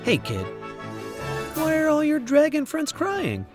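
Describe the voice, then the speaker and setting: A man reading a children's picture book aloud, expressive and theatrical, with dramatic drawn-out emphasis. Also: very high-pitched, over music. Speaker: storybook reader.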